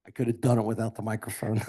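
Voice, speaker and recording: A man in a conference audience with a big, loud voice, asking the speaker a question. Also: flat delivery, fairly quick, husky. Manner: loud, booming voice. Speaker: audience member.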